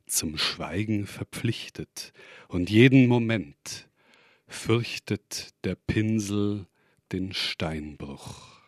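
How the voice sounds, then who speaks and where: Slow, low-voiced man reading poetry. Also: no room sound, studio quality. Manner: measured delivery in short, separated phrases. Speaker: poet reading own work.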